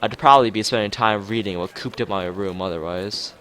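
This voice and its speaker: A man reading a visual novel aloud as a let's player, casually, with halting, rambling asides. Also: lowish voice, a bit bright. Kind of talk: casual game narration with side commentary. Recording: music behind the voice. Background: game music.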